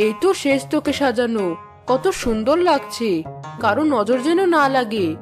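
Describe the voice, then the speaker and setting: A woman acting a sharp, high-pitched scheming stepmother. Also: moderate pace, music in the background, natural voice. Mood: fussing, sweet. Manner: scolding, bossy. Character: scheming, partial middle-aged stepmother, sharp and domineering. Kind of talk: cartoon family drama voice acting.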